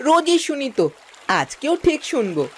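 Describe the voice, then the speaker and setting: A woman's voice: high-pitched, very animated, normal speed, a little hiss or hum. A woman voicing an impatient, eager little boy duckling in a cartoon. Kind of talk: cartoon voice acting.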